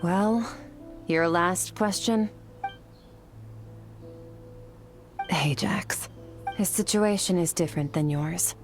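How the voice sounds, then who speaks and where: A woman playing an aloof, stoic otherworldly swordswoman in a video game, speaking in a cool, measured, matter-of-fact way. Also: lowish voice. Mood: stern, matter-of-fact. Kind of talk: English video game voice acting. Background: game music.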